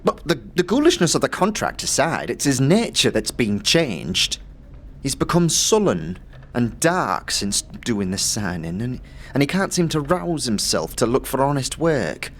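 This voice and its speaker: An actress playing a timid, worried middle-aged working-class wife in an audio drama, speaking haltingly and repeating her words. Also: rumbling low, very animated, a little hiss or hum, heavily acted. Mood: troubled.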